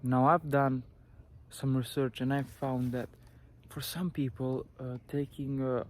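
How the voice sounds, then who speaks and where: Young Italian-accented man, vlogger giving personal advice, slow and sincere, halting with restarts. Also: mid-range voice, little pitch movement. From Italy. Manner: earnest, halting, with many restarts and fillers. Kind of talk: personal advice talk to camera.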